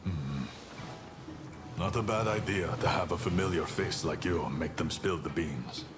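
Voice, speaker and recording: A man's voice: sits low, measured pace, over music. A man playing a stern, commanding samurai captain in a video game cutscene, terse and resolute. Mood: grim, measured. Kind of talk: dramatic game cutscene acting.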